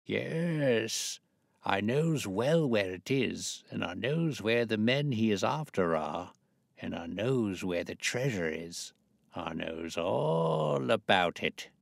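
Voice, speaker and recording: A man's voice: on the low side, animated, slow pace, vocal fry, no room sound, clean studio sound, exaggerated voice. A man voicing a petulant, obstinate very old working man with grumbling, rustic, ungrammatical speech. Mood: stubborn, guarded.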